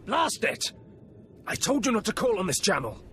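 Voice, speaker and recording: An actor playing an arrogant, bigoted imperial sergeant who barks contemptuous orders in a video game cutscene. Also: male voice, sits high, sing-song, moderate pace, pushed and bright, slight background noise, heavily acted. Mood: barking.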